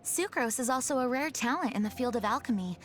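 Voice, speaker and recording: A woman's voice: fairly high pitch, expressive, quick pace, slight background noise, lightly acted. Woman doing young lady knight from a video game, earnest and proper, composed, very formal wording. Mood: sincere, dutiful. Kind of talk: video game character voice lines.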